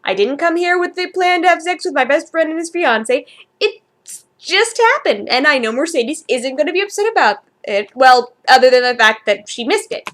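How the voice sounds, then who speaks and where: A high-voiced, slightly clueless young man from a fan fiction tale, read by a woman. He is defensive and hesitant, rambling as he justifies himself. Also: lively intonation, moderate pace, a bit bright. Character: panicky young man, dim and defensive best friend.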